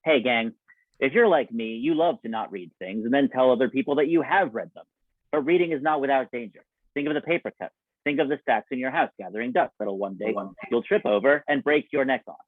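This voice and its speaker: A man announcing and narrating from a script in old-time radio style, with a theatrical, deliberate delivery. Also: mid-range voice, no echo. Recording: dull, band-limited radio broadcast sound.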